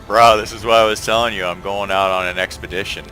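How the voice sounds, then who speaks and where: A man's voice: lowish voice, quick pace, soft background music, poor audio. A man streaming a space game, chatting casually and thinking aloud as he plays. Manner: relaxed, thinks aloud and trails off. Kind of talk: casual gameplay chat.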